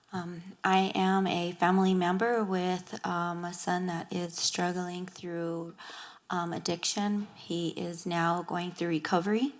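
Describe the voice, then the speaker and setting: A woman whose son is in recovery, introducing herself on a panel in a soft-spoken, hopeful way. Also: mid-range voice. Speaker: family member of a person in recovery. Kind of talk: panel introduction at a public forum.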